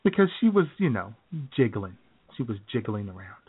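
American male co-host, phone-quality audio, loose comic storytelling with hesitations and throat-clearing. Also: fairly low pitch, very animated, normal speed. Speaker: radio show co-host. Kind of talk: casual comic chat, telling anecdotes. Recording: phone-like, narrow-band sound.

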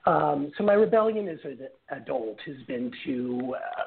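A man speaking as a radio show guest in casual, explanatory talk, sounding a little hoarse from a cold. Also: on the high side, lively intonation, measured pace. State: slightly hoarse, getting over the flu. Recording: noisy, phone-like line with clicks.

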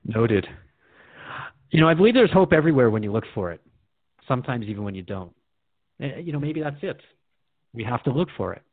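Earnest man with lively, swinging intonation. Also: medium pitch, fairly quick, pauses often, no room sound, phone-quality sound. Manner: earnest, reflective, questioning. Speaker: radio host. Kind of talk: radio drama dialogue, questioning interviewer.

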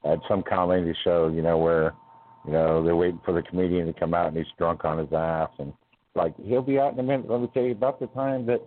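A man's voice: low-pitched, fairly even intonation, fast talker. A man hosting a call-in show, bantering in a joking, laid-back way. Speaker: radio show host. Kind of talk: casual call-in chat. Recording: tinny phone line with faint noise.

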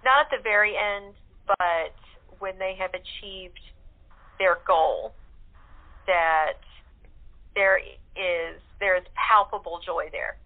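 A woman's voice: medium pitch, fairly even intonation, slow pace. A woman chatting casually as a cast guest in a group interview, over a thin phone-like connection. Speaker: radio-play cast member, interview guest. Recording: thin phone-line audio.

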